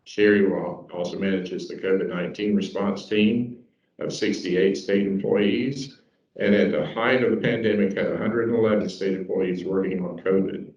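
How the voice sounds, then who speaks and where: A man's voice: sits low, steady tone, moderate pace, a little mellow. A man introducing a speaker at a club meeting, matter-of-fact. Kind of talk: reading an introduction, then fixing the mic. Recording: dull, echoey, far from mic.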